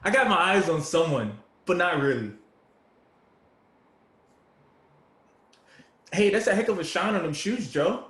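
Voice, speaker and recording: A man playing an easygoing, good-natured homeless man in a play reading, gentle and appreciative, with folksy street talk, recorded in an echoey room. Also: high voice, expressive, brisk. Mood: playful. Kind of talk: dramatic table reading of a stage play. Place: indoor room with echo, virtual reading.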